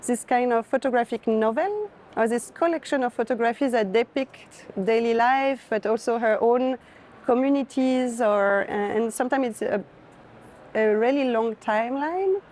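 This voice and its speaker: A woman's voice: on the high side, moderate pace, darkish tone. A woman curator who is not a native English speaker, talking casually about art and often searching for words. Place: art fair, on the move. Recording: noisy busy fair ambience.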